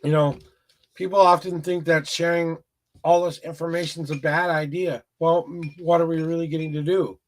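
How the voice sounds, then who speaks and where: A man's voice: fairly high pitch, flat delivery, normal speed. A man with a rural Minnesota Midwest accent, rambling through a casual livestream tarot reading with frequent restarts. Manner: rambling, repeats words and restarts often. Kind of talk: casual livestream chat with viewers.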